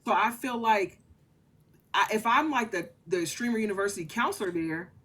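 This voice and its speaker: Woman, thirties, mid pitch, earnest rambling interview guest. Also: moderate pace, fairly bright tone. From Louisiana family. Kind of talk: casual interview chat.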